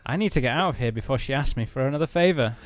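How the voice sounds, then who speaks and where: A man's voice: mid-range voice, animated, rapid-fire. A British man co-hosting a let's play, reading game text aloud and chatting casually about it. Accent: British English.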